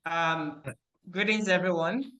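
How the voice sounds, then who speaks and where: A man's voice: sits high, fairly even intonation, slow pace, bright, forceful tone, rough recording. A man giving an earnest, formal anniversary speech over a video call.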